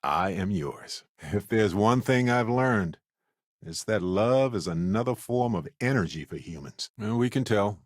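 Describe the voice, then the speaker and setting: An actor playing a witty, adventurous male space explorer companion in a video game, speaking in an expressive, conversational way. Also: lowish voice, a little slow, no room sound, clean studio sound. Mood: tender, heartfelt, then reflective, earnest.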